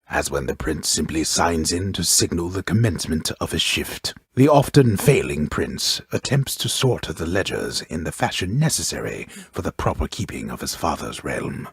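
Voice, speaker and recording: An actor playing a wry, grandiose old storyteller in a video game, narrating Greek myth gravely and ornately with dry mockery, his voice gravelly. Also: no room sound, exaggerated voice. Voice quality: very deep, gravelly, husky voice. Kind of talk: theatrical scripted game narration.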